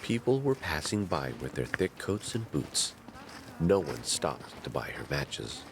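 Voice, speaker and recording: A man narrating a fairy tale in a measured, dramatic storytelling manner. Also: rumbling low, big pitch swings, noisy background.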